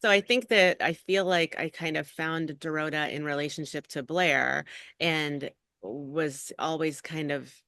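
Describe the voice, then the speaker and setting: A woman's voice: sits low, steady tone, unhurried, fairly bright tone, dry recording. A middle-aged woman, an actor and acting coach, talking casually as an interview guest and rambling with lots of "like" and "you know".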